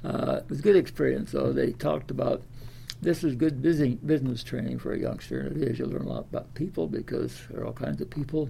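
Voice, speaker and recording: A man's voice: medium pitch, fairly even intonation, quick pace, vocal fry, hardly any pauses, warm tone, slight background noise. A man of ninety from Iowa, telling his life story in an oral history interview, rambling with many fillers and restarts. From Des Moines, Iowa. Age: 90s.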